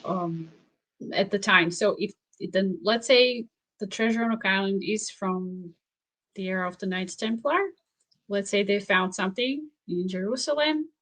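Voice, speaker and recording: A woman author whose first language is Slovak, answering interview questions thoughtfully, with pauses, restarts and frequent laughs. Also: mid-range voice, a bit bright. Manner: thoughtful, halting, laughs often. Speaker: author and researcher guest. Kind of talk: interview conversation.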